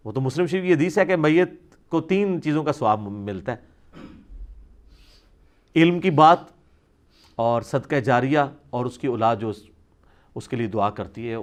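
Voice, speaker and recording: A man answers religious questions in an informal, explanatory lecture, reasoning conversationally as a scholar. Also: lowish voice. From South Asia.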